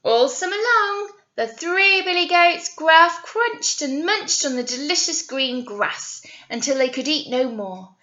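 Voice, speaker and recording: A woman's voice: sits high, lively intonation, a little slow, hardly any pauses, bright, forceful tone. A British woman, a teacher, telling a story to young children expressively, with coaxing questions and asides, on a slightly dull recording.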